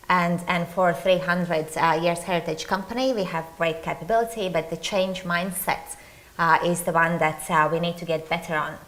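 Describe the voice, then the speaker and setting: Latvian-accented woman, measured panelist. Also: fairly low pitch, a bit bright, low-quality recording. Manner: measured, listing things one by one. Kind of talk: conference panel introduction.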